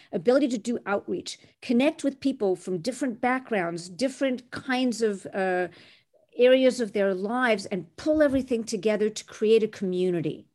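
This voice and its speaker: A woman's voice: on the high side, a little mellow. A woman in her sixties from Canada, a recruiter, telling her career story in an interview with articulate, self-assured ease. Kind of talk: interview answers.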